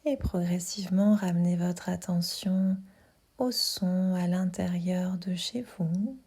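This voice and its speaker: A woman guiding a sleep meditation in a soft, half-whispered voice, calm and soothing, leaving pauses between her instructions. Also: fairly low pitch, breathy edge. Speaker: yoga nidra guide.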